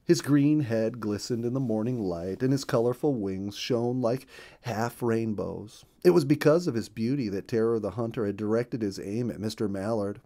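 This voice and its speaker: A man narrating a children's story as a storyteller, with emphatic, deliberate phrasing. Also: fairly low pitch, warm tone.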